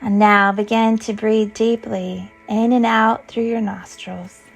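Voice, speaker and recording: Female meditation guide, gentle and soothing, mid-pitched with some vocal fry, faint music beneath. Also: flat delivery, low-quality recording. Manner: soothing, gentle, unhurried instruction. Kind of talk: guided yoga nidra meditation.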